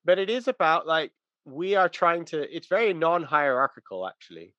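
Bright, emphatic man in religious discussion. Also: fairly high pitch, lively intonation, normal speed, no room sound, muffled recording.

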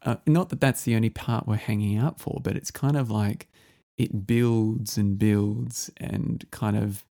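Gravelly man hosting a music chat. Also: lowish voice, steady tone, a little slow, hardly any pauses, dark tone. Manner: rambling, enthusiastic, many fillers. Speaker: podcast host. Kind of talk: casual music interview.

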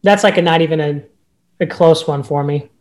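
A man with a notably high-pitched voice, a real estate agent speaking as a podcast guest in an upbeat, emphatic way. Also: normal speed, a little mellow. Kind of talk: casual podcast interview.

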